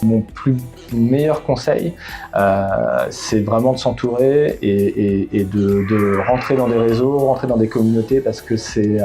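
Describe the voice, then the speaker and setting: A man's voice: medium pitch, a little slow, over music. A middle-aged man from Bordeaux, a startup founder answering interview questions, hesitant, with many fillers and restarts. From Bordeaux, France.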